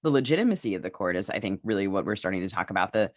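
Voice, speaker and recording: A woman's voice: extremely low pitch, animated, rapid-fire. An American woman co-hosting a podcast with casual, opinionated political talk and a wry, playfully sarcastic manner. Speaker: podcast host.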